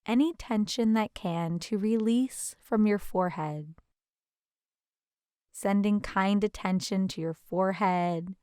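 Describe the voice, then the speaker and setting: Lady doing a guided meditation, soft gentle manner, mid pitch, really smooth clear voice, takes her time. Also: dry recording, studio quality. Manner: gentle, soothing, unhurried guidance.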